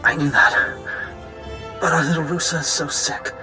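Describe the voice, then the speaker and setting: An actor playing a dying husband and father in a video game, giving a halting, tender, regretful farewell as a recorded holo message. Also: a little high. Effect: holographic recording. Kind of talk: dramatic video game acting. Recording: echoing holo message, music behind.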